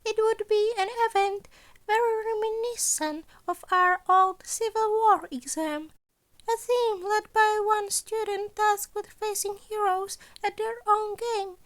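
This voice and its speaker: Woman voicing a very high, gleefully scheming animal principal. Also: fairly even intonation, no room sound. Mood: scheming, intense. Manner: formal, wordy, deliberate. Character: small male animal school principal, gleeful, cunning, formal schemer. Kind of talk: acted dialogue in a fan fiction reading.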